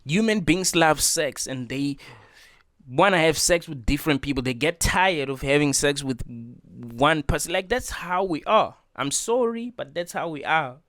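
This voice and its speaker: A man's voice: on the high side, a little slow, a bit bright, no room sound, clean studio sound. A man hosting a reaction video, talking in an earnest, preachy way and repeating his points with lots of filler words. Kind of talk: casual opinion monologue.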